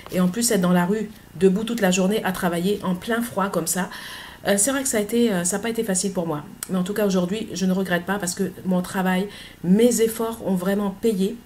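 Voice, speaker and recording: A middle-aged woman, talking earnestly in a personal vlog, pausing often with hesitant fillers. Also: mid-range voice, darkish tone, slight background noise. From Côte d'Ivoire. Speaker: author.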